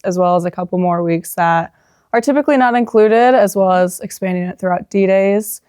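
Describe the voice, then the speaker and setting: A woman's voice: mid-range voice, darkish tone, clear, resonant tone, dry recording, studio quality. A young woman from South Dakota, a college junior who is president of the student government, answering interview questions in an upbeat, confident way. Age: young adult.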